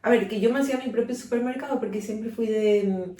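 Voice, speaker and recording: A woman's voice: on the high side, fairly quick, warm tone, a little hiss or hum. A woman in her twenties with a Paraguayan accent, co-hosting a podcast in a casual, conversational way. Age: 20s. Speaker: podcast co-host. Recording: roomy echo, distant from the mic.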